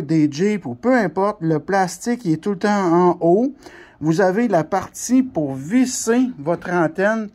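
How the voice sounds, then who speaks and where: A man's voice: high voice, a little mellow. A man with a Quebec French accent giving an informal hands-on explanation, colloquial and repeating points for emphasis. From Canada. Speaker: CB radio shop technician.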